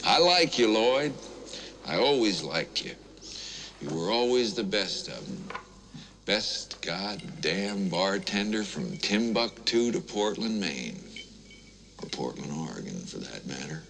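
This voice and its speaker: A man's voice: sits low, sing-song, slow, vocal fry, fairly bright tone, exaggerated voice. An actor playing an irritable, unhinged man, speaking with sarcastic, profane emphasis in a film scene. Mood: manic bonhomie. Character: irritable, unhinged husband and writer. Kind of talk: film acting. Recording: distant film audio, faint noise.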